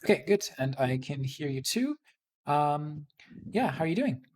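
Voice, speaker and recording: A man's voice: on the high side, sing-song, a little slow, a little mellow. A man with a Canadian accent hosting a casual livestream interview in a chatty, reassuring manner. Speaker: host interviewing game developers.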